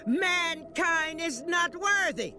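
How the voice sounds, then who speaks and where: An actress voicing a preachy woman who cries doom and shouts warnings in a game cutscene. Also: very high voice, animated, slow, slight background noise, full character voice. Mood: preaching doom. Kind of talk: game voice acting.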